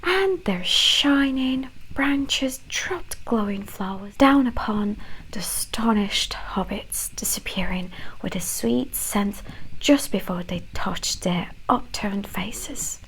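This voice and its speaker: A woman with a mixed British and Canadian accent whispering a story in a gentle, hushed ASMR storytelling style. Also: mid-range voice, expressive, low-quality recording. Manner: gentle, hushed, unhurried delivery.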